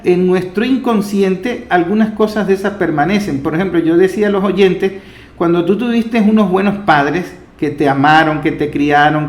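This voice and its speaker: A man hosting a radio talk, explaining reflectively and building emphatic lists. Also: high-pitched. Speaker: radio host and interviewer. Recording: echoey room sound.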